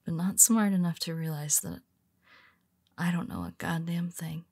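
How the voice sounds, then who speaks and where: A woman voicing a young female superhero in an audio roleplay, speaking hesitantly in a husky half-whisper with many restarts. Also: on the low side, big pitch swings, moderate pace, darkish tone, no echo, studio quality, full character voice. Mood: tender, confessional.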